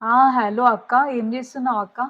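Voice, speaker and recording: A woman's voice: on the high side, steady tone, fairly quick. A young woman, chatting casually in a pleading, coaxing way. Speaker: prank caller. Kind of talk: casual pleading phone-prank conversation. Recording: muffled, very noisy location audio.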